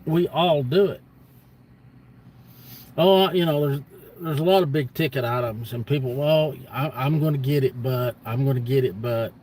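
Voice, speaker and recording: A man's voice: medium pitch, normal speed, a little mellow. Blunt man in his fifties, noisy background. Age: 50s. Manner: blunt, folksy, emphatic repetition. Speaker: livestream host. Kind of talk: casual rambling monologue to viewers.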